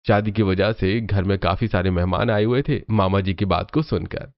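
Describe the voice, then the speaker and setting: A man's voice: sits low, normal speed, lo-fi sound. A man narrating a scripted cartoon family story as the storyteller.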